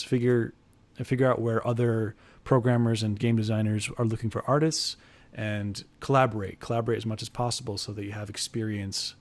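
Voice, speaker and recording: A young man chatting casually with viewers on a drawing livestream, answering questions in a loose, halting way and restarting phrases as he sketches at his desk. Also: on the low side, a little mellow. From Iraq, grew up in the United States. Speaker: art streamer. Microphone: headphones, desk mic.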